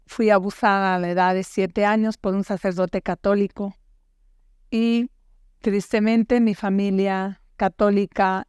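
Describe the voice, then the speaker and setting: An older woman from Guatemala, recounting her own life story in a quiet, measured, halting way. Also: medium pitch, clean tone, no echo. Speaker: guest survivor. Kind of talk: personal testimony.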